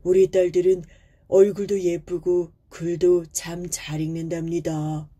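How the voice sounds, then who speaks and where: A woman's voice: lowish voice, flat delivery, measured pace, warm tone. A woman voicing a kindly middle-aged village father in a storybook tale, speaking in a hearty, reassuring manner. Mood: proud, jovial.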